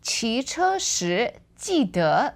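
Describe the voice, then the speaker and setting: Lively woman teaching Chinese words. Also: on the high side, creaky. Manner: lively, switches into slow careful Mandarin examples. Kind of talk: language lesson chat.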